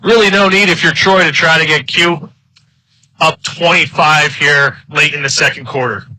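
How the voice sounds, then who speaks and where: Very sharp, bright-voiced male football color commentator. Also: a little high, steady tone, moderate pace, no room sound, poor audio. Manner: emphatic, opinionated. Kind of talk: live sports analysis.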